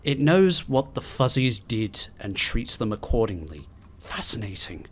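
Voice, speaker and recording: A man doing video game commentary and reading in-game documents aloud in a theatrical, expressive way. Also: medium pitch, unhurried, slight background noise. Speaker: gaming video host.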